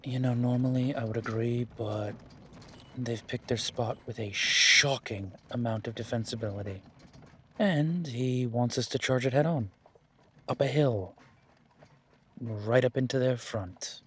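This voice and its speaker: A man playing a weary, guarded werewolf duke in a fantasy audio drama, speaking in a measured, dry way with a husky voice. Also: medium pitch, a bit bright, slight background noise, lightly acted. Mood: grave.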